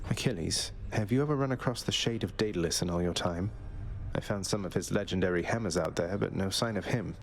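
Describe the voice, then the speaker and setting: Low-voiced, wry young prince. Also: male voice, fairly quick, vocal fry. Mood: calm. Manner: dry, nonchalant, polite. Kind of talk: video game dialogue.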